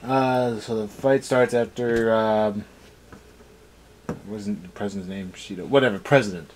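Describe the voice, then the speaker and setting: A man's voice: lowish voice, measured pace, slight background noise. A man giving a casual, unscripted episode review as a co-host, with a sarcastic, snarky way of talking. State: tired.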